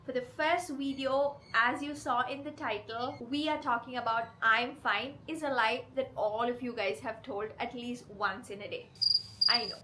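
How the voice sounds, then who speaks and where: A woman with a cold that leaves her voice hoarse and rough, hosting her channel and talking earnestly and directly to her viewers. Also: high voice, bright, forceful tone, a little hiss or hum, rough recording. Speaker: channel host. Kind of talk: confessional vlog talk to camera.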